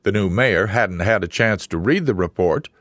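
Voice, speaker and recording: A man reading a scripted radio news report in a measured, even newsreader delivery. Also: fairly low pitch, dry recording, clean studio sound.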